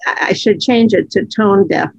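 A woman's voice: mid-range voice, moderate pace, creaky, breathy edge, no echo, highs cut off. Frank, laughing woman podcast co-host. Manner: chatty, laughs often, frank. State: low voice from allergies. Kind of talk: casual conversational podcast.

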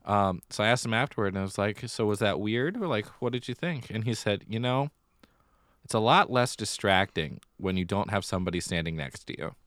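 A man with a low voice talks casually as a podcast co-host. He tells stories in a chatty, slightly theatrical way, and the sound is dry with very little room in it. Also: expressive, brisk, a bit bright, no echo. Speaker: middle school theater director. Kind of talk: casual conversational podcast chat.

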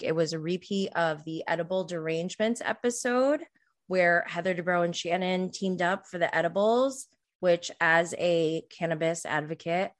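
A woman of about forty hosting a podcast, chatting casually on her own in a rambling way that often trails off. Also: on the low side. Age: around 40. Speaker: podcast host. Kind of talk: casual solo recap chat about reality TV.

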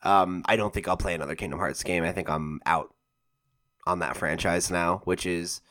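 A man's voice: sits low, steady tone, normal speed, a bit bright. A man in his twenties co-hosting a gaming podcast, chatting casually and rambling with lots of fillers and restarts. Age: 20s. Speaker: podcast co-host. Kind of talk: casual chat.

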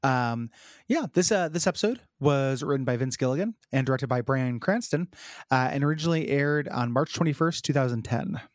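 A man's voice: mid-range voice, lively intonation, no room sound, clean studio sound. A man co-hosting a podcast in casual chat, with a froggy voice, talking carefully around a sore tooth, full of fillers and restarts. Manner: halting, lots of fillers and restarts. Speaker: podcast co-host.

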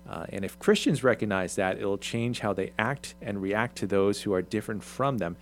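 A man with a somewhat low voice hosts a casual talk show and keeps pausing on ums and uhs. A faint hum sits under his speech. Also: animated. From Irish, Swedish ancestry mentioned. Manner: hesitant, many ums and restarts. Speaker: radio show host.

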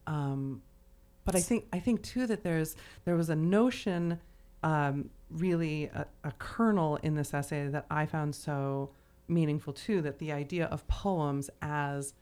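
Female podcast co-host, low register, casual and exploratory, feeling out each idea as she speaks. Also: measured pace, mellow, smooth. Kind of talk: literary discussion, casual chat.

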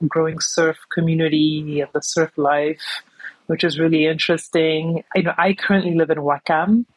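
A woman's voice: low-pitched, level tone, moderate pace, dry recording, poor audio. Easygoing woman in a dry recording. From New York City. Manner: easygoing, rambling, many "you know" fillers. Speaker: podcast guest. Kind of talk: casual interview chat.

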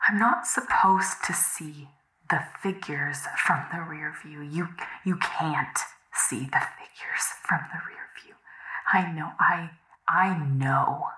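An actress playing a tormented, fearful young woman in a supernatural audio drama, speaking in halting, hushed dramatic phrases. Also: low-pitched, heavily acted. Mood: desperate, whispering. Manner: halting, breathy half-whispered, dramatic delivery. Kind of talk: found-footage horror audio drama monologue. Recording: echoey, roomy sound.

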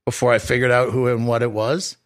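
Man, 40s, ex-radio DJ, dry self-deprecating storyteller, halting, mid-pitched voice. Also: level tone. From Boston area, US. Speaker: radio DJ guest. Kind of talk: casual interview chat.

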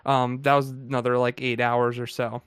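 A man's voice: mid-range voice, level tone, normal speed, clear, resonant tone, no echo. A man from Pennsylvania, a guest on a podcast, chatting casually, stammering and restarting often.

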